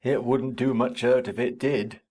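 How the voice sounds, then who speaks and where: A woman voicing an awkward, slow-witted young country man who talks in a coarse, drawling way. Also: rumbling low, sing-song, pitched below the performer's own voice, full character voice.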